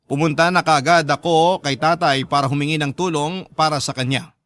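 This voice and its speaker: A man with a Filipino accent narrating as a sorrowful grown child in an earnest, measured radio drama letter style. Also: on the high side, steady tone, a bit bright, dry recording, studio quality, lightly acted. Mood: sorrowful, reflective. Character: sorrowful grown child recounting a father's betrayal.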